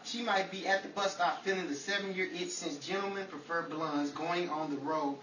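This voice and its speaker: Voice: mid-range voice, normal speed. Folksy radio game-show host, roomy sound. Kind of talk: old-time radio game-show hosting. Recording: roomy, distant, faint noise.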